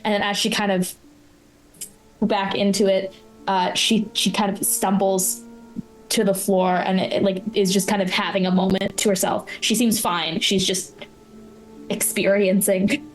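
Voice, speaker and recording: A woman playing a tabletop roleplaying game, casually improvising a description of what their character does, haltingly and informally. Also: mid-range voice, flat delivery, soft background music, rough recording.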